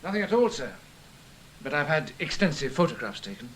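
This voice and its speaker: An actor playing a deferential security investigator in a radio drama, giving polite, factual reports. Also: male voice, on the high side, big pitch swings, a little fast, a bit bright, a little hiss or hum. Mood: polite, efficient. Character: deferential, matter-of-fact male British security investigator. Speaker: subordinate colleague reporting.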